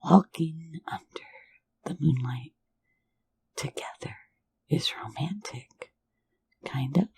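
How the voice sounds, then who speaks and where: A woman's voice: deep voice, takes it slowly, pauses often, breathy edge, full character voice. A woman whispering as a sly phantom thief. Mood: teasing. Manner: soft intimate whisper, mocking and coaxing. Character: sly, teasing phantom thief, playful and flirtatious. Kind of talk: ASMR roleplay. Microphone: close mic for ASMR.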